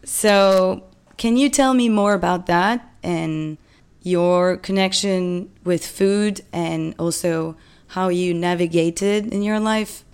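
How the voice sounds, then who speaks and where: A woman interviewing two company founders, asking long questions in a gentle, hesitant way. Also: lowish voice, slow pace, clean tone.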